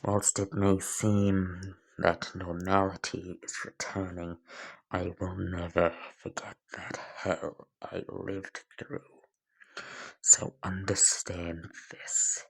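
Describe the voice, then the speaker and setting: A man voicing a bitter, vengeful young man turned giant cyborg, deadpan and hushed in a fan story. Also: low voice, gravelly, full character voice, poor audio. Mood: cold menace. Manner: slow, deliberate, deadpan, sarcastic.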